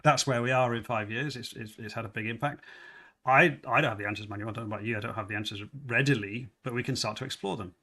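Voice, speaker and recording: A man hosts a livestream in easy, casual chat. His voice has a bright, forceful edge. He talks loosely and repeats words as he thinks aloud. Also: mid-range voice, fast talker. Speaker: livestream host.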